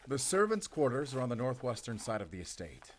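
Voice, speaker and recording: A man's voice: mid-range voice, sing-song, fairly quick, slight background noise, lightly acted. A man acting a curt, commanding nobleman in a fantasy audio drama, formal and authoritative. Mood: stern.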